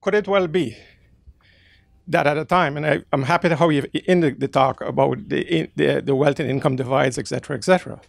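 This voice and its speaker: Man, audience questioner, gravelly and slightly high, halting delivery, dry sound. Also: dry recording. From Caribbean, raised in the Netherlands. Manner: halting and searching, with many fillers and restarts. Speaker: audience member asking a question. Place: bookstore event space.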